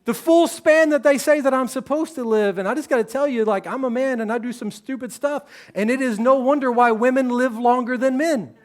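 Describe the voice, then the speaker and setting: A man, preaching a sermon on a church stage in a relaxed, joking, conversational way. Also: extremely high pitch, a little fast, hardly any pauses. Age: 30s. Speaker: pastor.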